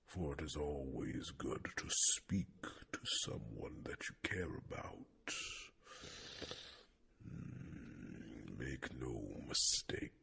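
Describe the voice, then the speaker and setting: A man voicing an old, wise male dragon mentor in an ASMR fantasy roleplay, slow and gently teasing with soft chuckles and breathy whispers. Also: exaggerated voice. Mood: fond, teasing. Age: older. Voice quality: deep gravelly voice with breathy whispers.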